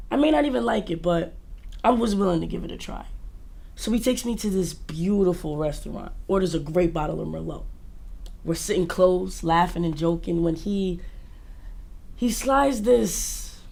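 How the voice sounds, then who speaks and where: A woman performing a jilted dater in a confessional comic monologue, with halting, incredulous delivery. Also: mid-range voice, vocal fry, a little mellow. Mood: wry recollection. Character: jilted, exasperated woman recounting a disastrous date.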